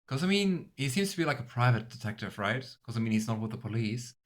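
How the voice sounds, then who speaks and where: A man's voice: medium pitch, very animated, fast. A young man giving casual, bemused commentary on a video game, full of fillers. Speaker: let's play host.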